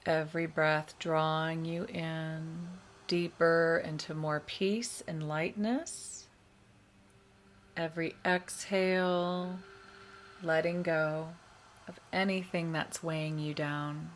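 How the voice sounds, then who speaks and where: A woman leading a guided meditation, giving soothing, measured instructions with long pauses between phrases. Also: sits low, steady tone, a little hiss or hum. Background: meditation music.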